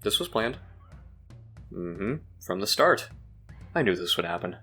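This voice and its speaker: A man playing a deadpan, sarcastic teenager with a laconic, dryly teasing delivery in an audio drama. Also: sits low, unhurried, slightly rough, faint music behind, full character voice. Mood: dry, sardonic.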